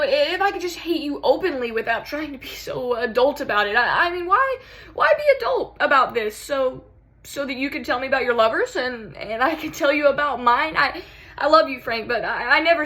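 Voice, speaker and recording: A woman's voice: high voice, pushed and bright. A woman acting a theatrical monologue as a rattled, unravelling jilted lover, stammering and repeating her words as she pleads.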